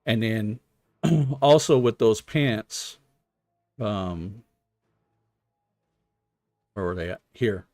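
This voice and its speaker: A man with a lowish voice talks through a game on a casual stream. He speaks slowly, working out his thoughts aloud with hesitant explanations. The sound is close and dry. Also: expressive, no room sound, faint music behind. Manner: thinking out loud, explanatory, hesitant. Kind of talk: casual gaming stream commentary.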